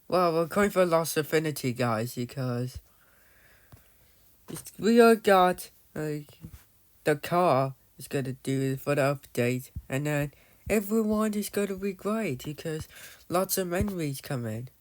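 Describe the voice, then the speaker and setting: A man's voice: on the high side, expressive, unhurried. A man giving casual, rambling commentary on his game, often losing his thread and calling out to viewers as "guys". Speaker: gamer narrating his play.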